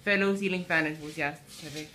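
A man vlogging indoors about a ceiling fan, holding a phone camera, talking in a halting way with frequent restarts. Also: fairly high pitch, steady tone. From Philippines. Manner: halting, repeats words and restarts often. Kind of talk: casual filmed commentary. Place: indoors in a house kitchen. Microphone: handheld phone camera.

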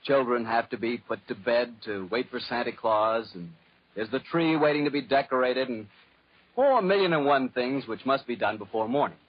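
An American man announces in a folksy 1940s radio style. His medium-pitched voice is a little husky. The broadcast sounds old and muffled. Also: normal speed.

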